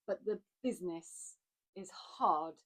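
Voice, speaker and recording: A woman's voice: lowish voice, slow. A woman co-hosting a casual chat about acting, talking candidly and reflectively, often restarting her sentences. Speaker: podcast co-host.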